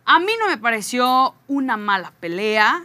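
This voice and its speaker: Mujer mexicana, comentarista deportiva desenfadada y sin filtro, timbre muy brillante. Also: female voice, fairly high pitch, big pitch swings, unhurried, bright, forceful tone, a little hiss or hum. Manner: outspoken, blunt, slangy. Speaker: sports show panelist. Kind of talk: casual sports chat.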